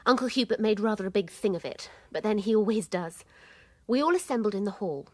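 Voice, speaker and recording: An actress playing a straightforward young country-house niece in a radio drama, matter-of-fact in manner. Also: female voice, medium pitch, fairly quick, warm tone, lightly acted. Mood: frank.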